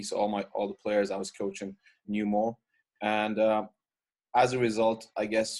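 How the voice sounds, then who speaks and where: Lower-voiced young man. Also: darkish tone. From Ireland. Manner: measured storytelling with filler words. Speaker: basketball coach, guest. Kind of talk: casual interview answers.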